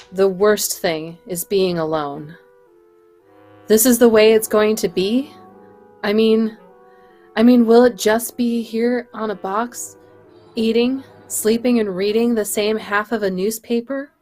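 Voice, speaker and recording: Young woman doing a weary lone survivor in a radio play, halting and slow, music behind. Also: mid-range voice, steady tone, darkish tone, lightly acted. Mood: lonely, despairing. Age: young adult. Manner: halting, musing aloud. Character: lonely, weary, dazed survivor talking to self. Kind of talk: live table read of old radio drama.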